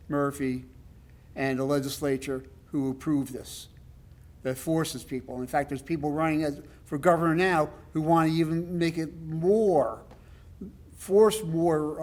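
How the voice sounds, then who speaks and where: A man with a loud voice giving a rambling, emphatic public comment at a town meeting, with many fillers. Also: fairly high pitch. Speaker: resident speaking at public comment.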